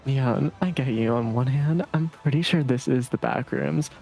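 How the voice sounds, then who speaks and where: A woman voices a cheeky, eager comedy game hero. The delivery is playful and dramatic, with a creaky edge and faint music behind. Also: a bass voice, moderate pace, warm tone. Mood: mock dramatic.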